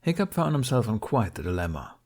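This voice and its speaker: A man's voice: medium pitch, very animated, brisk, darkish tone. A man reading scripted audiobook narration of a story.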